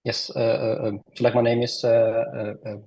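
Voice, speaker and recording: A man in his twenties introducing himself on a video call, very hesitant and halting. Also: medium pitch, little pitch movement, gravelly, mellow, slightly rough, no echo, poor audio. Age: 20s. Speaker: software engineer, youth volunteer. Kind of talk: hesitant self-introduction in an online meeting.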